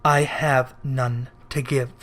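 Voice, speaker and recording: Man voicing a slow, wailing, tormented old ghost. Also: mid-range voice, level tone, a bit bright, voice pushed down. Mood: mournful, weary. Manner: slow, solemn, drawn-out wailing.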